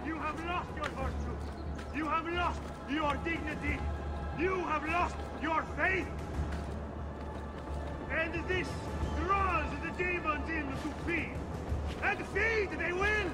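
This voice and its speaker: A man's voice: very high voice, slow pace. An actor playing a fanatical male preacher, declaiming a fiery, theatrical sermon in a video game with zealous fervour. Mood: scornful. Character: fanatical male preacher ranting scripture from a tower. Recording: loud music over the voice.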